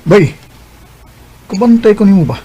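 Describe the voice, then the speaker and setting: A man's voice: sits high, very animated, moderate pace, warm tone, breathy edge, exaggerated voice. A man playing a chummy, teasing young Cebuano friend who nudges and encourages, in a Filipino radio drama. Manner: chummy, nudging. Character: teasing, encouraging young male buddy of the suitor. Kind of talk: Bisaya radio drama acting.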